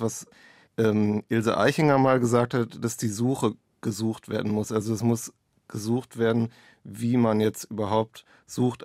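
A man's voice: lowish voice, a little mellow, no echo, studio quality. A man speaking as an author in an interview, picking his phrasing hesitantly as he explains his work. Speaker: author and playwright. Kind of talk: interview conversation about own work.

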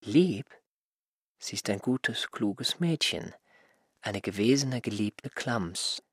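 An actor in a literary radio drama playing an insolent former servant, subdued and coolly dismissive. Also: male voice, on the low side, lively intonation, slow, husky, no room sound, studio quality, exaggerated voice. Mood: indifferent, resentful. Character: insolent, indifferent male former servant, newly independent. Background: prominent music.

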